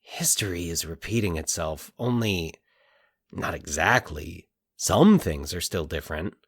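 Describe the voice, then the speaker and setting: A man's voice: low voice, animated, unhurried, breathy edge, no echo, full character voice. A man voicing a baffled, brooding young man who muses to himself in a fiction podcast story. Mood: puzzled, musing. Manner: puzzled, musing to himself. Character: Mike, baffled, brooding young man investigating eerie coincidences. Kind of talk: fiction podcast dialogue within narration.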